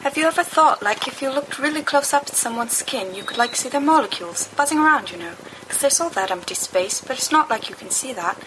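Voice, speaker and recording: Stoned teenage schoolboys voiced by a woman, raised pitch, excitable slangy rambling, audiobook dialogue, noisy home recording. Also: fairly quick, hardly any pauses. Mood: stoned excitement.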